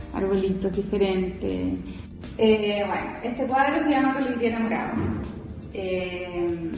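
A Chilean woman in her forties, a painter, reflectively explaining her own painting with soft, hesitant fillers. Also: on the high side, gravelly. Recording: echoey hall from far off.

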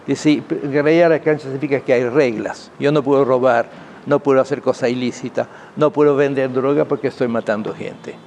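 Man, gravelly creak, interview guest, halting, emphatic, repetitive, faint background noise. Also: mid-range voice, steady tone, a little mellow. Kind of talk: spoken interview, explanatory opinion.

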